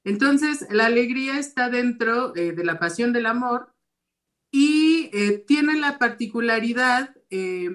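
A woman explaining an academic study in a steady manner, with frequent fillers. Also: on the high side, a little slow.

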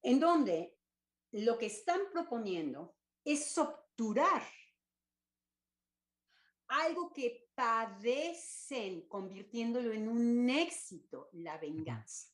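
An older woman with a Mexican accent talks as an academic guest on a discussion panel. Her pitch moves a great deal, and she rambles with frequent restarts and hunts for words. The sound carries a roomy echo. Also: big pitch swings, slow.

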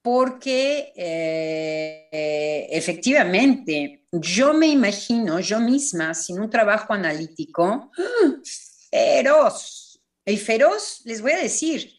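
A woman psychoanalyst speaking reflectively and personally on a panel, halting and repeating phrases for emphasis. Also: medium pitch, very animated.

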